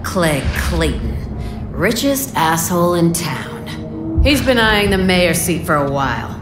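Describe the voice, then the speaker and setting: A shrewd resistance boss in a video game. She briefs firmly in a fairly low voice. The sound is echoey, with music behind. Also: sing-song, slow. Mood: grim, cautious. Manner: firm, businesslike briefing. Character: tough, shrewd female resistance leader. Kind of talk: video game dialogue acting.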